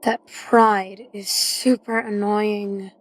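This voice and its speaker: A reserved female assassin, acted by a woman in a medium pitch. She is cool and dry, with a teasing edge suited to audio drama. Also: level tone, extremely slow, rough recording. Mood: dry, fond. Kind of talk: audio drama character acting.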